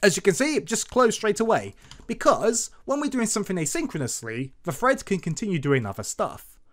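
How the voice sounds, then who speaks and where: Animated man narrating a coding tutorial. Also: sits high, brisk. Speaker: programming tutorial host. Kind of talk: informal screen-recorded tutorial narration. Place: voice-over for screen recording.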